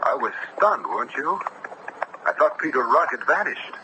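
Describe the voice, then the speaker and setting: An actor playing a thoughtful, courteous judge of olden times, speaking formally and deliberately in a radio drama. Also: male voice, mid-range voice, sing-song, close to an everyday voice. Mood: inquiring. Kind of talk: old-time radio drama acting and narration. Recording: noisy vintage radio drama broadcast.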